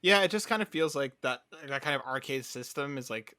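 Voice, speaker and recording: A man's voice: mid-range voice, expressive, a little fast, sharp tone, no room sound. A man hosting a casual gaming podcast, chatting in a conversational, opinionated way. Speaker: podcast host.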